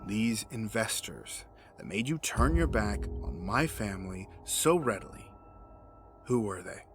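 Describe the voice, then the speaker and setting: A man's voice: fairly low pitch, big pitch swings, a little slow. Actor as a vengeful, menacing man over music. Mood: cold interrogation. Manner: menacing, sarcastic, accusing. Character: grieving, vengeful, cold-hearted man confronting a betrayer. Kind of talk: dramatic audio drama acting. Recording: music under the voice. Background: dramatic music.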